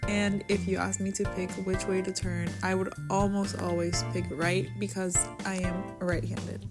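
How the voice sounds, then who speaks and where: Music plays clearly behind a young woman's voice. She grew up in Venezuela with Spanish as her first language. She narrates in a personal, cheerful vlog style, at a middling pitch for a woman. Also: level tone, normal speed. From Caracas, Venezuela. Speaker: vlogger. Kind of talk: personal narration over a drawing video.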